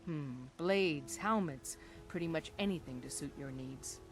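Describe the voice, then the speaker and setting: A woman's voice: low voice, unhurried, soft background music. Businesslike female blacksmith merchant. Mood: sales pitch. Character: businesslike female blacksmith selling weapons and armour. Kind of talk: scripted fantasy video game dialogue.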